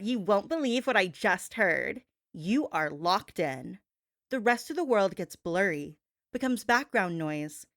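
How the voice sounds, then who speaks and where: A woman acts out a sassy female gossip radio host in a very dry, close recording. Her delivery is theatrical and conspiratorial, stretching key words for emphasis. She teases and coaxes the listener like a hypnotist, in a medium-pitched voice. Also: no room sound. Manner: dramatic, conspiratorial, drawn-out emphasis, coaxing. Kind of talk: talk-show gossip monologue blended with hypnosis induction.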